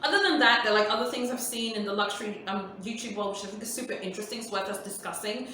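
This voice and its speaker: Bright-voiced woman in an echoey room. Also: mid-range voice, quick pace, a little hiss or hum. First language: Swahili. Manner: chatty, emphatic, repeats points, many "like"s. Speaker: luxury YouTuber host. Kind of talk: casual Q&A talk to viewers. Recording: echoey room, voice far from mic.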